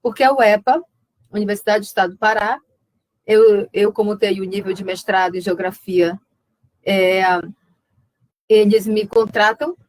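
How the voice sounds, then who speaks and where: A woman's voice: mid-range voice, steady tone, normal speed, pauses often, darkish tone. An invited woman writer on an online panel, northern Brazilian accent, thinking aloud and circling back over her words in a dry, echo-free spot. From Amazonas, Brazil (Alto Solimões). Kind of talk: conversational talk in a web conference. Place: remote web conference.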